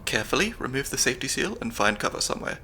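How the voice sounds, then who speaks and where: A man's voice: mid-range voice, very animated, rapid-fire, slight background noise. A young man voicing a nerdy, slightly anxious stand-in spy handler with dry wit, in an ASMR audio roleplay. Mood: analytical. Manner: analytical, dry wit, soft-spoken.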